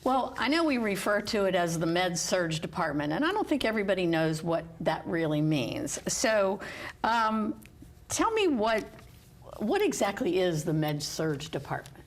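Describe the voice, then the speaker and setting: A woman's voice: on the low side, big pitch swings, moderate pace. A woman from West Virginia hosting a health interview show, encouraging her guests and complimenting them as she asks follow-up questions. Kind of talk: friendly interview.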